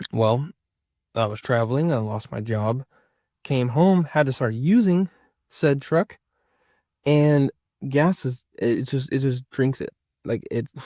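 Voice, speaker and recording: A man's voice: mid-range voice, darkish tone, no echo, studio quality. A man of about thirty chatting casually to his viewers as a vlogger, rambling and repeating himself for emphasis. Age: around 30. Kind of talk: casual personal chat to viewers.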